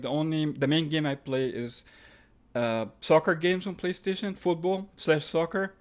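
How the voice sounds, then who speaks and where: A man from Egypt with an Egyptian accent, hosting a live stream and reading out viewer questions, then answering them offhand. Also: fairly high pitch. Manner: reads questions aloud then answers offhand, with fillers and restarts.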